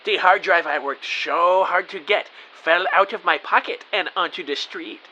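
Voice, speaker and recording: A man playing an earnest, excitable rookie spy who narrates and acts out his own adventure in an audio drama with eager melodrama. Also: high voice, moderate pace, close to an everyday voice. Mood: dramatic, anxious. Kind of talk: first-person audio drama narration with dialogue. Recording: faint background hiss.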